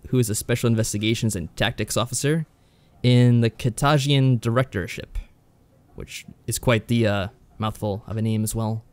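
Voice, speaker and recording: A man co-hosting a podcast, explaining and reviewing games in a measured, conversational way. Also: mid-range voice, a little mellow, no echo. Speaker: podcast co-host. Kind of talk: conversational visual novel review.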